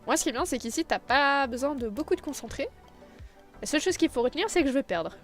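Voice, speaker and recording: A woman's voice: high voice, lively intonation, fast, soft background music. A young woman chatting casually while playing a mini golf game, laughing often and a little stuffed up with a cold. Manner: laughs often, playful and dramatic outbursts. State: has a cold. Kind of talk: casual gaming chat.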